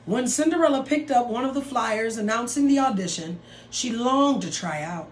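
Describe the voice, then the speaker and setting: A woman teacher reading a story aloud to students in an expressive, dramatic way, with drawn-out phrases and playful asides. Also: a little high. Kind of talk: expressive read-aloud of a picture book for students. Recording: roomy echo with some background noise.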